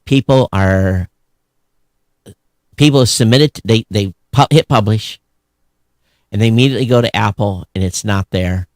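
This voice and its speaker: A man's voice: on the low side, normal speed, pauses often, a little mellow, no echo. A man co-hosting a podcast, giving casual commentary on tech news and repeating phrases for emphasis. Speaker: podcast co-host.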